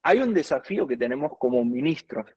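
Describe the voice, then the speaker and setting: A man's voice: mid-range voice, animated, a little fast, a little mellow, slightly rough, no echo, muffled recording. A man from Argentina with an Argentine accent, a guest worship minister teaching earnestly in conversation and quoting scripture at length. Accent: Argentine Spanish.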